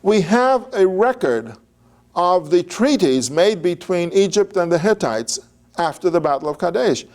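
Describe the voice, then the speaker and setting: A man's voice: sits high, animated, a little slow, a little mellow. An older man giving an informal, digressive lecture on biblical history, talking conversationally with fillers and restarts.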